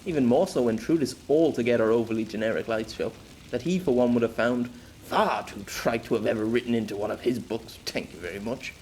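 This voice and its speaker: A man narrating a story as an amateur audiobook reader, theatrical, wry and expressive. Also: medium pitch, brisk, slight background noise, poor audio.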